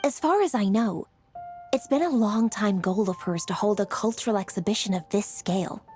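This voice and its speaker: A woman's voice: mid-range voice, moderate pace, darkish tone, soft background music, close to an everyday voice. A woman playing a poised, dutiful young female official in a video game, speaking formally and articulately. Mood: composed, informative. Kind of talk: scripted video game dialogue.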